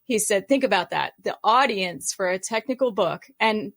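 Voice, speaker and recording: A woman's voice: mid-range voice, lively intonation, moderate pace, a bit bright. A woman co-author talking casually on a podcast, retelling advice with quoted asides. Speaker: co-author guest.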